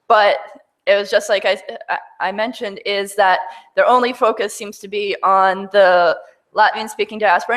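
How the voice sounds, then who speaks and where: A woman replying at a panel discussion with emphatic, lively speech. She often trips and restarts her words, and her voice sits at a middling pitch. She speaks into a table microphone in a large wood-panelled hall. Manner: animated, emphatic, frequent restarts.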